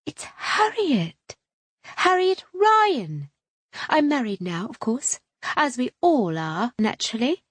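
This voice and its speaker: A woman reading an audiobook, voicing an effusive young milliner who chatters in a high, sing-song voice. The recording is dry. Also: sing-song, slow, breathy edge, dry recording, full character voice. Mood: gushing.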